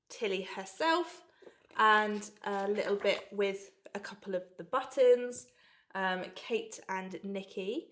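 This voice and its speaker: A woman's voice: medium pitch, a bit bright. A woman in her thirties, stuffed up with a cold, chatting enthusiastically as she unboxes a sewing subscription box. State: blocked nose from a cold. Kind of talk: casual unboxing vlog.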